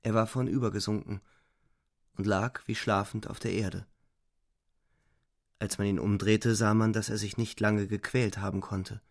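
An actor playing a weary, resigned narrator of a war story in a radio drama, calm, measured and solemn. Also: male voice, on the low side, dry recording, studio quality. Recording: music behind the voice.

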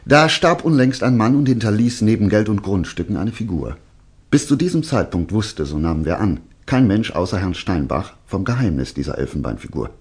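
A self-assured detective in a radio play. His husky voice swings in lively arcs as he teases his listeners, spinning out each revelation deliberately. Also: lowish voice. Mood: theatrical, teasing. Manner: deliberate, teasing, suspense-building storytelling. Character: male detective, self-assured, theatrically revealing a case to suspects. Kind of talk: German radio drama acting.

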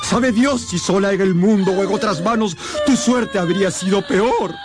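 An actor playing a pompous, possessive old gentleman and guardian in a radio drama. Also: high-pitched, moderate pace, natural voice. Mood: pleading, contrite. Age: older. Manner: pompous, theatrical, emphatic. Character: old possessive Spanish gentleman, domineering guardian turning pleading. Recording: music behind the voice.

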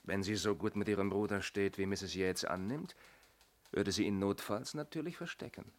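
An actor in a German radio play playing a gruff, matter-of-fact police detective who muses bluntly on a case. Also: male voice, on the low side, normal speed, natural voice.

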